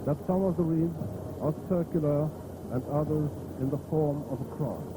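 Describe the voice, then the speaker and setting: A man with an Irish accent giving grave, measured live commentary on a funeral procession in an old radio style, pausing between short phrases. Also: on the high side, very noisy. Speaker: radio outside-broadcast commentator. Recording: old reel-to-reel radio tape, band music behind. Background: loud marching band music.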